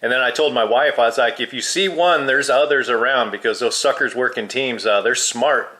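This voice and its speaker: A man's voice: medium pitch, fairly quick, sharp tone, low-quality recording. A man who is a hobby radio operator, chatting casually with radio jargon.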